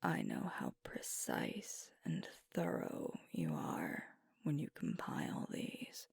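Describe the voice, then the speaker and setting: Casting: female actor for protective werewolf girlfriend, ASMR audio. Low, hushed, breathy delivery; soothing, reassuring, gently firm. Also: huge pitch swings, no echo, clean studio sound, full character voice. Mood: gentle concern. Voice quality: breathy whisper. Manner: soothing, coaxing, reassuring, deliberate pauses. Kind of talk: whispered ASMR girlfriend roleplay.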